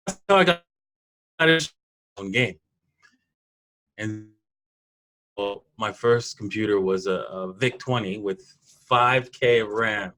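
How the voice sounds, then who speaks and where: A man, telling personal stories in a casual interview in an animated way. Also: on the low side, measured pace, long pauses, low-quality recording. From Toronto, Canada. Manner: animated storyteller, laughs often. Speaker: podcast guest, programmer.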